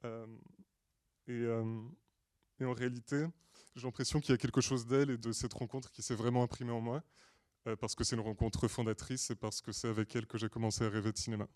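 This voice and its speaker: A man with a mid-pitched, dry-sounding voice delivers a prepared story pitch. He is from Portugal and speaks in earnest, deliberate phrases. Hesitant pauses break up his storytelling. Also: flat delivery, a little mellow, dry recording. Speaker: screenwriter and director pitching a feature film. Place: screening room at a film school.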